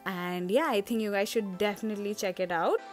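A woman's voice: mid-range voice, fairly quick, over music. A woman, chatting upbeat to viewers while walking through shops in a casual shopping vlog. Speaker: fashion vlogger. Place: outdoor street market and indoor shopping arcade.

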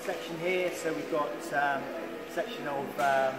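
A man's voice: on the high side, fairly even intonation, unhurried, over loud music. A man in his thirties hosting a casual tour of a factory, asking chatty, curious questions. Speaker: host. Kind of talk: casual on-site interview. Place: large factory floor, indoors. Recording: echoey factory hall, clear machine noise.

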